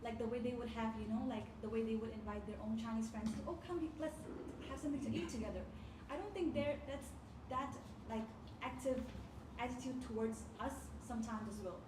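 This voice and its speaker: Female panelist, measured and reflective, distant in a noisy echoey hall. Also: on the high side. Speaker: nurse and panelist. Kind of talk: forum panel discussion. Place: community forum hall.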